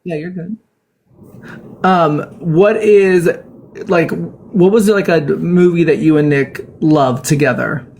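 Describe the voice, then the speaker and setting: A woman's voice: lowish voice, slow, a little mellow, a little hiss or hum. A woman hosting a casual live chat who asks long, rambling questions and talks encouragingly to her guest. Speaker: host of a live panel.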